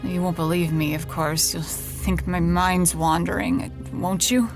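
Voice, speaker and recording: An actress playing a stubborn, fatalistic dying woman in an audio drama, speaking in a halting way. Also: on the low side, little pitch movement, music in the background, close to an everyday voice. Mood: halting, pained. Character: stubborn, resigned dying woman haunted by ghosts.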